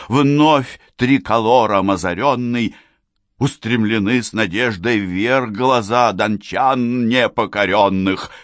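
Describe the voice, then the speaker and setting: A man with a fairly low voice reads poetry very slowly and solemnly, lifting and dropping his pitch and loudness in broad declamatory sweeps. The sound is dry and close, with no room echo at all. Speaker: poetry reader.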